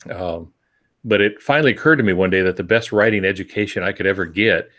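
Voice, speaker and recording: A man's voice: fairly low pitch, fairly bright tone. An older man giving writing advice in casual podcast talk, with a wry, self-deprecating, folksy manner. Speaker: podcast co-host and novelist.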